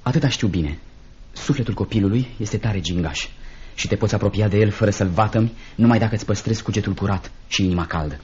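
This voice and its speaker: An actor in a radio play voicing an earnest, polite, idealistic young teacher. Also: male voice, lowish voice, a little mellow, slightly rough. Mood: modest, sincere. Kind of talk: radio drama acting. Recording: muffled old radio recording.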